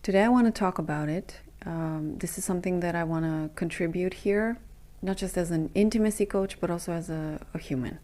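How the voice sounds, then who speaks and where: A young adult woman from Romania, a coach, speaking earnestly in a halting personal monologue with many pauses and fillers. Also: lowish voice, mellow. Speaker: intimacy and breathwork coach.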